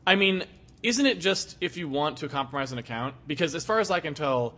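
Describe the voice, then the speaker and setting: Guy with a stuffy cold chatting on a podcast, bright voice, swears a lot. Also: fairly high pitch, a little fast. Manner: animated, swears freely. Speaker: podcast co-host. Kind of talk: casual podcast chat.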